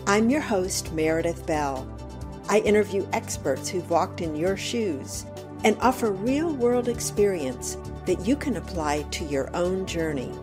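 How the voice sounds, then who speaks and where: Lady podcast host doing the intro, smooth scripted read, soft music under it. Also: on the low side, lively intonation, unhurried. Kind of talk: podcast interview.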